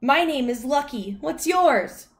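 A gushing, friendly storybook dog played by a woman reader, pitched well up high. She is chatty and eager to please, with a cheerful, apologetic temper. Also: lively intonation, slow pace, exaggerated voice. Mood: earnest, friendly.

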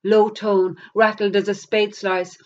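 A middle-aged woman with an Irish accent, a poet reading verse aloud in a measured, deliberate way. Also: mid-range voice, little pitch movement, darkish tone. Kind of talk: poetry reading. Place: plain indoor room against a bare wall. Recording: dull lo-fi camera sound.

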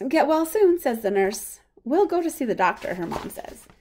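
A woman reading a picture book aloud for young children in a simple, expressive way. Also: high-pitched, normal speed, slight background noise. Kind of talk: children's storybook read-aloud.

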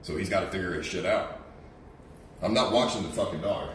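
A man's voice: deep voice, a little fast. A man chatting casually on a livestream in a blunt, sweary way. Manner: blunt, profane. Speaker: streamer and event organiser. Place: small kitchen, indoors. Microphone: distant camera or phone mic. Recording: echoey room, distant mic, some noise.

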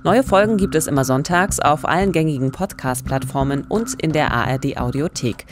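A woman's voice: deep voice, fairly quick, music in the background. A woman in her thirties hosting a true-crime podcast, asking questions and reacting with interest into a studio microphone. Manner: engaged, asks questions, reacts with surprise. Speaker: podcast host. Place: small radio studio. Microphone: studio condenser mic, headphones.

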